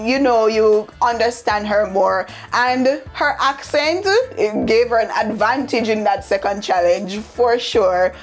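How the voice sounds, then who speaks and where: A young woman contestant with a Jamaican accent, talking confidently about her performance. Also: a little high. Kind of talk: casual reflection.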